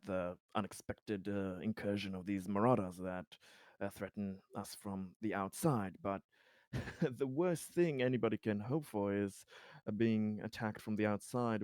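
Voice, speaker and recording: A man's voice: fairly low pitch, darkish tone, dry recording, lightly acted. A man playing a polite, worried company representative in a tabletop game, speaking in hesitant, wordy, formal phrases.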